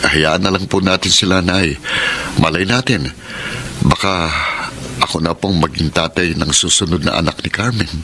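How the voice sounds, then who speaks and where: Deep-voiced, earnest young Filipino man. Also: normal speed, bright, forceful tone, a little hiss or hum. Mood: gentle, hopeful. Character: earnest, devoted young man, hopeful suitor and dutiful son. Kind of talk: Filipino radio drama acting.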